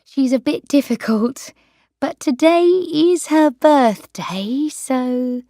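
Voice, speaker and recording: A woman's voice: high-pitched, takes it slowly, dark tone, dry recording, studio quality, pitched above the performer's own voice. A woman voicing a patient young granddaughter coaxing her grandmother.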